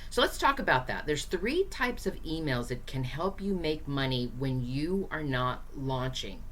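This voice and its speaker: A hoarse woman coaching small business owners in a casual, chatty teaching style, speaking directly to her viewers with frequent fillers. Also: deep voice, expressive, a little slow, a bit bright, a little hiss or hum.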